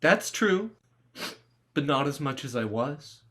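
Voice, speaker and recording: A man's voice: fairly high pitch, very animated, slow pace, bright, forceful tone, exaggerated voice. A man playing an earnest, newly confident teenage boy in a play script reading. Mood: hesitant.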